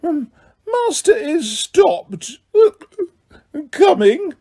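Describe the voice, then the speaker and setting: A man voicing a tiny female house elf who is drunk and crying, with a squeaky, slurred, halting voice. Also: sing-song, warm tone, exaggerated voice. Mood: tearful drunk. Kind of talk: audiobook character voice.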